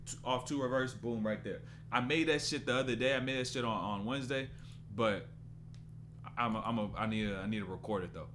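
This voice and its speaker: A man's voice: mid-range voice, fairly bright tone, a little hiss or hum. A man in his twenties doing casual live-stream basketball commentary, loose and rambling as he stops to answer chat. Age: 20s.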